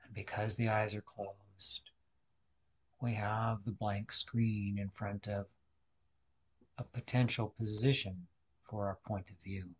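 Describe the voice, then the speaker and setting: A man leading a guided meditation, speaking deliberately in short instructional phrases with pauses. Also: fairly low pitch, flat delivery, slightly rough.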